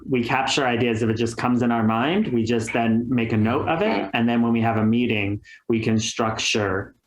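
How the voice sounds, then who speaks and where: A man's voice: mid-range voice, fairly even intonation, normal speed, reverberant, low-quality recording. A man talking candidly as a guest on a podcast, chatty and full of fillers. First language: English. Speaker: guest YouTuber. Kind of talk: casual podcast chat.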